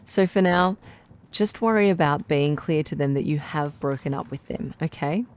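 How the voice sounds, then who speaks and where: A woman playing a caring, composed radio relationship doctor who gives advice in a measured, articulate, reassuring way. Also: sits low, a little mellow, lightly acted. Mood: gentle, encouraging. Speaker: radio advice show host. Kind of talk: radio drama advice-show acting.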